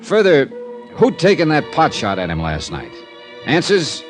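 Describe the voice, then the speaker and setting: An actor with an American accent playing a tough, wry insurance investigator in a golden age radio detective drama, with a clipped, sardonic manner. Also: male voice, fairly high pitch, wildly swooping pitch, a little slow, faint music behind. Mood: wry narrating.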